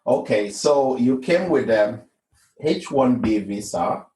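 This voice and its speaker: Guy hosting a podcast, low voice, sounds far from the mic in a roomy space. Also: animated, takes it slowly, mellow. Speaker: podcast host. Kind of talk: podcast interview. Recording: echoey room, distant mic.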